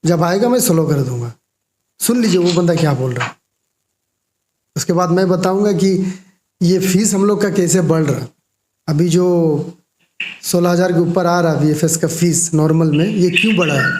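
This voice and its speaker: A young Indian man with a high voice talks to his viewers in an echoey room. He explains things conversationally and emphatically, as a vlogger commenting informally. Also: normal speed, long pauses, darkish tone, a little hiss or hum. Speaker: vlogger commenting on a call recording.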